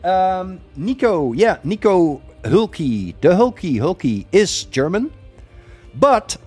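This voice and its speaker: A middle-aged Dutch man talks to his stream in English with a Dutch accent. His voice sits a little high, and he rambles with lots of ums and uhs. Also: very animated, takes it slowly, darkish tone, slightly rough, soft background music. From Netherlands. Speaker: gaming streamer. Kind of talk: casual live-stream chat.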